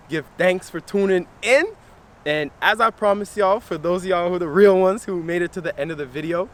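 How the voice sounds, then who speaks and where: A young man hosting a wellness channel, talking casually and earnestly to camera, repeating phrases for emphasis in relaxed slangy speech. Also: sits high, slight background noise. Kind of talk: casual motivational talk to camera.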